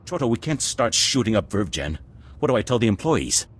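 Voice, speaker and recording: Low-voiced deferential underling. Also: male voice, big pitch swings, fairly quick, husky. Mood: uneasy. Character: deferential businesslike underling managing an illicit lab. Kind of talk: video game voice acting.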